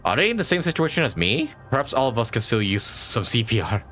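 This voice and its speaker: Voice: medium pitch, big pitch swings, quick pace. A video game streamer giving casual live commentary on the story as it plays. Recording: faint game music behind the voice.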